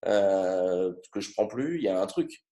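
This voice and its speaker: A man in his thirties with a fairly low voice, speaking haltingly with frequent fillers. The recording carries a noticeable roomy echo, and his talk stays casual and personal. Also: lively intonation, dark tone. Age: 30s. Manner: hesitant, many fillers. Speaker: coaching client. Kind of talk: casual interview testimony.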